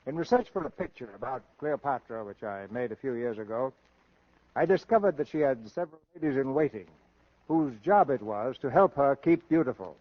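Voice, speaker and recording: A man hosting and narrating an old radio theater show in a formal, scripted, measured showman's style. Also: medium pitch, animated, dry recording. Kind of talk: scripted 1930s-40s radio presentation. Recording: dull old radio broadcast recording.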